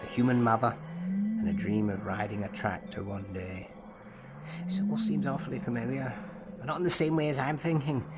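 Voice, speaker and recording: A man plays an enigmatic, probing therapist in a dramatic audio drama. His mid-pitched voice is measured, formal and analytical, with music clearly audible behind it. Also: animated, lightly acted. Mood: probing, certain. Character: probing, enigmatic male therapist to an alien patient. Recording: music under the voice.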